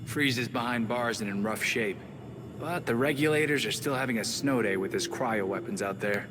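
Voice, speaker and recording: An actor playing a blunt, matter-of-fact, wry crime-fighter in video game briefing dialogue. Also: male voice, mid-range voice, moderate pace, lightly acted. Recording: clear background noise.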